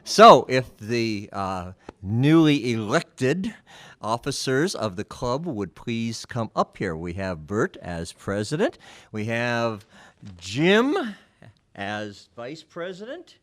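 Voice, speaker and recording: Male election chairman at a club meeting, medium pitch, jocular and halting, informal ceremonial announcements. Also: very animated, fairly bright tone.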